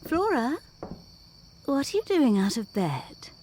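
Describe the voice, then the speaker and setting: A woman playing an anxious, probing young governess in a gothic audio drama, earnest, measured and intense. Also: high voice, sing-song, darkish tone, slight background noise, heavily acted. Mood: wary questioning.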